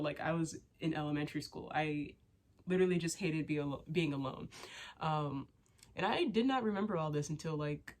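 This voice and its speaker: A young woman with a low voice talks openly and at length to her viewers. She is getting over a cold, so she sounds stuffy and sniffles now and then. Her sentences ramble, full of "like" and "you know" and restarts. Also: darkish tone, slight background noise. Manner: rambling and candid, many fillers and restarts. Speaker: vlogger. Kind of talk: casual chat to camera.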